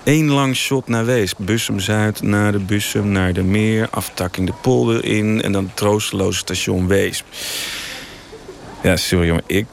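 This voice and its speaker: An actor playing a jaded, boastful TV news director who grumbles casually in a mock radio interview. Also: male voice, on the low side, measured pace, gravelly, slight background noise. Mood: grumbling, disdainful.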